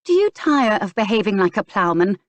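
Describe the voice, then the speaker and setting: A woman's voice: medium pitch, big pitch swings, fairly quick, dry recording, pitched above the performer's own voice, close to an everyday voice. A woman voicing a prim, straight-laced young lady who grows indignant and furious. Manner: indignant, rising to anger.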